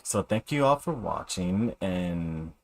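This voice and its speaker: A man chatting casually as a live game streamer, in a hesitant, halting way. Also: sits low, animated, creaky. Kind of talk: casual game livestream chat.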